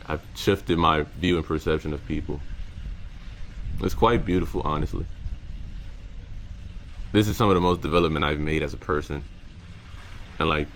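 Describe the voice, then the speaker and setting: A young man with a very low, deep voice talks to his camera about what he has learned. His speech is casual and slangy, full of halting restarts and repeated words. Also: fairly bright tone, a little hiss or hum. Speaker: content creator. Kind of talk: reflective casual vlog monologue.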